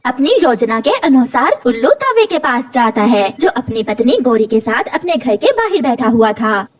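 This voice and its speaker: Lady narrating a cartoon story, high pitched, really animated. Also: moderate pace.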